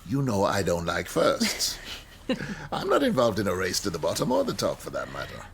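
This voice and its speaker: An outspoken, middle-aged professor in a radio drama. His delivery is wry, emphatic and rhetorical, with a bright, forceful tone and animated pitch. A faint layer of noise sits behind the voice. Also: normal speed, heavily acted. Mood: wry, confiding. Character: outspoken, wry male history professor, provocative and guarded. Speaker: university lecturer. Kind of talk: radio drama acting, lecturing.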